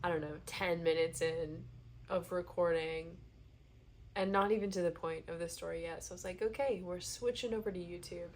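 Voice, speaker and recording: A woman's voice: lowish voice, fairly even intonation, normal speed, darkish tone, slight background noise. Nasal, congested woman rambling. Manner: chatty, meandering, thinks aloud with fillers. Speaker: vlogger. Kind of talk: casual rambling monologue.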